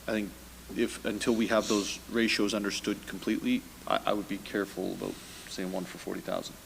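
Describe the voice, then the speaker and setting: A man leads the council debate, halting and repeating words, with a wry edge. His voice carries a long hall reverb, with a faint room hum beneath. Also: on the low side, level tone, gravelly. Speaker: mayor chairing a meeting. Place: council chamber.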